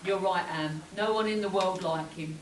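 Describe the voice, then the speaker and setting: A man's voice: high voice, moderate pace, close to an everyday voice. A man playing a plain-spoken, loyal engineer sidekick in a live spoof radio play. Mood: earnest. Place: convention hall before a live audience. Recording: echoey, distant mic, noisy room.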